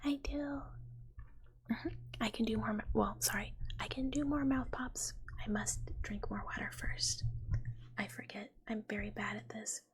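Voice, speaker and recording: A woman livestreamer chatting with viewers in a playful, cutesy ASMR style, in a breathy whisper. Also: mid-range voice, moderate pace.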